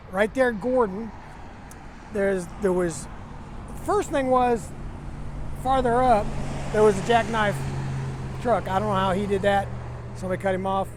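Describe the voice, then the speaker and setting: A man talks like a trucker vlogger. His delivery is folksy, plain and conversational, as if telling a story to camera. There is clear vehicle and outdoor noise behind him. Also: sits high. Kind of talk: casual first-person storytelling to camera. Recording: noisy, vehicle and outdoor ambience.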